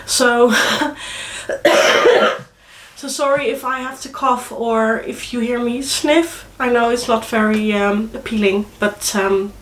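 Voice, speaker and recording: Young dutch-accented woman, stuffy with a cold, slightly high voice, chatty and hesitant, echoey room. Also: fairly even intonation, slow, fairly bright tone. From Netherlands. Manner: chatty, hesitant, many fillers and restarts. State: congested with a cold. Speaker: vlogger. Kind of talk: casual chat to camera.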